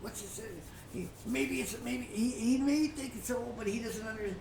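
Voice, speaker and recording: A slightly high-pitched older woman preaches in an informal, storytelling way. She is folksy and halting, often repeating words and pausing as she goes. The recording is roomy and a little distant from the mic, with faint noise. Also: vocal fry, a little mellow. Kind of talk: informal Bible teaching with storytelling.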